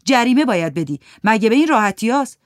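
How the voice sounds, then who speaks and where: A narrator voicing an angry male cafe owner who shouts in outrage. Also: mid-range voice, sing-song, no room sound, clean studio sound, voice pushed up, lightly acted. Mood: indignant. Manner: shouting, indignant.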